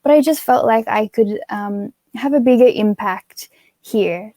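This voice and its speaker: A woman's voice: mid-range voice, a little slow, warm tone, no room sound. Give me a young woman from Australia, a pageant titleholder answering interview questions in a chatty, earnest way with lots of fillers.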